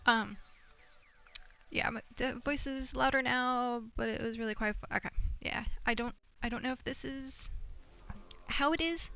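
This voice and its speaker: A woman's voice: fairly high pitch, a little slow, sharp tone, clear, resonant tone, no echo, lo-fi sound. A woman livestreamer chatting casually to her viewers, rambling and thinking aloud as she goes. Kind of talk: casual chat to stream viewers. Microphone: streaming microphone.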